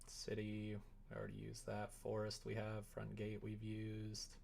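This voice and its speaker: A man's voice: fairly low pitch, monotone, measured pace, gravelly, darkish tone, a little hiss or hum. A man chatting casually on a livestream while he works, thinking aloud in a rambling, hesitant way. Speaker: livestreamer making a visual novel. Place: indoors at home.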